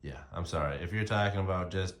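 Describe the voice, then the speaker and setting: A man's voice: low voice, fast talker. An American man in his forties talking casually and informally to his phone as a motorcycle vlogger, rambling in a slangy, conversational way. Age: 40s. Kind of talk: casual rambling vlog to camera.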